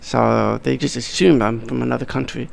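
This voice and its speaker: A middle-aged man talking as a podcast guest in casual conversation, blunt, swearing freely, with halting restarts. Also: medium pitch, fairly even intonation, vocal fry. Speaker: podcast guest, wedding videographer.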